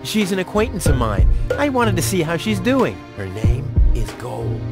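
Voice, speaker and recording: An actor playing a cocky, naive young schemer in an adventure game, with a brash, flippant, exaggerated delivery. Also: male voice, on the high side, very animated, moderate pace, creaky, loud music behind the voice. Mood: eager, brash. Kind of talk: animated game dialogue.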